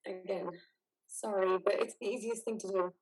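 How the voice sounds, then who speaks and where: A woman's voice: mid-range voice, fairly even intonation, normal speed, husky. A woman in a reader's theater drama playing a caring, worried wife, soothing and pleading. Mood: concerned.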